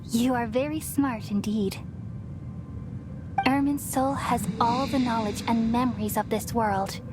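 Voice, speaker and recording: A woman voicing a serene, wise and selfless ancient deity in a video game, speaking in a measured, solemn, tender way. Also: fairly high pitch, steady tone, lightly acted. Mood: tender resolve. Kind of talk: dramatic video game voice acting, poetic monologue. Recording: prominent music behind voice. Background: music.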